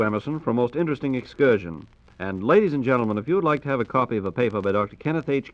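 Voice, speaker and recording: An American man hosts in the style of 1940s radio, with a medium-pitched voice. He reads his script formally and courteously, and the old recording sounds dull and muffled. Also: no room sound. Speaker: radio host.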